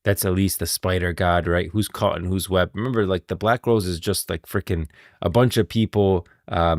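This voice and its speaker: A man's voice: low-pitched, dry recording, clean studio sound. A man giving casual live stream commentary on game lore, musing and thinking aloud.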